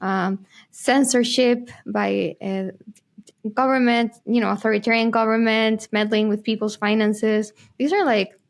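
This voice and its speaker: A woman's voice: on the high side, fairly even intonation, measured pace, creaky. A woman from Chile hosting an interview, chatting casually with many fillers as she sets up her questions. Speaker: interviewer, host.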